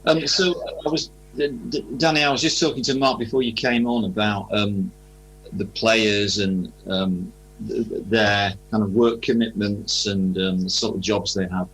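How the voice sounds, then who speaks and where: A man working as a radio interviewer, putting informal questions in a hesitant, halting way. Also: lowish voice, steady tone, fairly bright tone, a little hiss or hum, low-quality recording.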